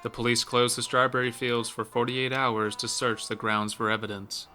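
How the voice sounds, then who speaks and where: A man's voice: mid-range voice, flat delivery, normal speed, pushed and bright, soft background music. A man narrating a scripted horror story as the narrator of an audio drama.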